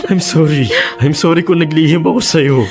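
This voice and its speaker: A man's voice: fairly high pitch, steady tone, normal speed, darkish tone, lightly acted. Man acting a torn, pleading young boyfriend, music behind. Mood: desperate pleading. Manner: emotional, pleading, insistent. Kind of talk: Tagalog radio drama acting.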